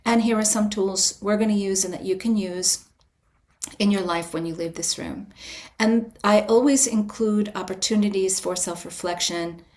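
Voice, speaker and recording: A woman guest on a podcast interview, a writer and retreat leader, explaining her approach in a calm, invitational, measured way with frequent restarts. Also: mid-range voice. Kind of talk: reflective interview answer.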